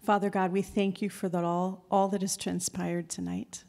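A woman's voice: mid-range voice, flat delivery, moderate pace, darkish tone. A middle-aged woman moderating a public event and leading it, speaking into a handheld microphone on an auditorium stage with long reverb.

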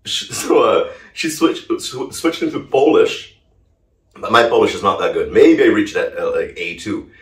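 A man in his thirties from Brazil, a native Portuguese speaker, telling stories casually as an interview guest. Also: on the low side. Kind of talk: casual conversational storytelling. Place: indoor living room, on a sofa. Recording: roomy, distant microphone.

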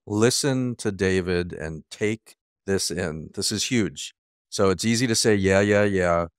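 A middle-aged man hosting in a conversational coaching style, emphatic and deliberate, on a headset mic. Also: low voice, clear, resonant tone, no echo, studio quality. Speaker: host. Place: office, video call.

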